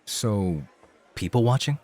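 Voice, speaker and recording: A man's voice: fairly low pitch, animated, takes it slowly, darkish tone. A man playing a young rookie club host in an ASMR roleplay, casual and cheeky, with bravado over self-doubt. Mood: unsure, curious.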